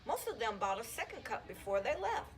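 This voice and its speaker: A woman reading a children's chapter book aloud in an expressive, storytelling style. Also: a little high, a little fast. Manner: animated, acts out dialogue. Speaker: school counselor reading aloud to students.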